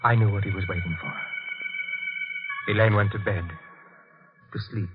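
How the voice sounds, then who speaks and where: Haunted killer husband in vintage radio horror. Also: male voice, lowish voice, huge pitch swings. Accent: American. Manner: guarded, clipped, halting. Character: tense, guilty, insomniac killer husband confessing, evasive. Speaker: actor and narrator in radio drama. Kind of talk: 1940s radio horror acting. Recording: dull vintage radio recording with music.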